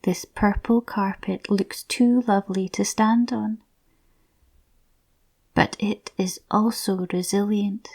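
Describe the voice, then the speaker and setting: A woman softly narrating a scripted guided visualisation in a soothing, breathy, near-whispered voice. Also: mid-range voice, fairly even intonation, slow.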